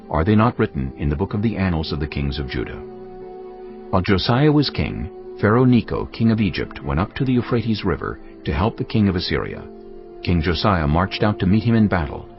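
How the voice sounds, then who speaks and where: Deep, expressive male Bible narrator. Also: creaky. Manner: grave, expressive storytelling. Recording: dull lo-fi with music underneath.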